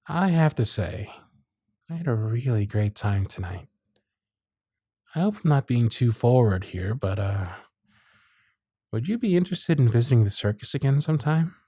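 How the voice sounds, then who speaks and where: A man playing a charming, gentle circus magician who is smitten and privately anxious, speaking tenderly and softly in an ASMR romance roleplay. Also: mid-range voice, normal speed, pauses often, slightly rough, no echo, studio quality. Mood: warm, charming. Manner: soft-spoken, flattering, tender, with hushed asides. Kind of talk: ASMR romance roleplay with intimate inner monologue.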